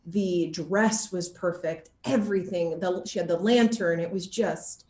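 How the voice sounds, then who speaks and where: A woman's voice: lowish voice, a little slow, dark tone, echoey room. A woman chatting casually as an interview guest, gushing and emphatic in her enthusiasm.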